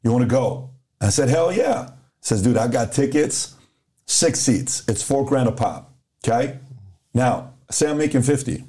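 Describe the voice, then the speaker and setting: Middle-aged man from Iran, relaxed warm voice, casual podcast guest who plays out both sides of a story. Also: mid-range voice, a little slow. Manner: animated storyteller who acts out dialogue.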